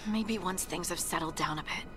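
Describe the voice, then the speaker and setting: An actress playing an earnest, curious young dwarf in a fantasy video game, speaking in a thoughtful, eager way. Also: female voice, on the low side, a little fast, lightly acted. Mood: hopeful, unsure. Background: game music.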